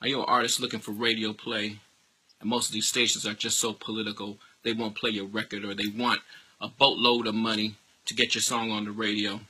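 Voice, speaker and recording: A middle-aged American man with a husky voice giving an emphatic, informal promotional pitch in street slang. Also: level tone, fairly quick, bright, forceful tone, a little hiss or hum, rough recording. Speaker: radio promoter and DJ.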